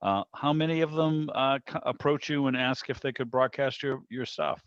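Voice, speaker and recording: A man's voice: mid-range voice, normal speed, no echo. A man chatting casually and asking questions in an online meeting over lo-fi video call audio. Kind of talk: casual discussion.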